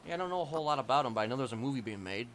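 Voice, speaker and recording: A man's voice: medium pitch, expressive, rapid-fire, fairly bright tone, a little hiss or hum. A man improvising casual roleplay chat in a hesitant, conspiratorial, rambling way. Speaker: roleplay game player.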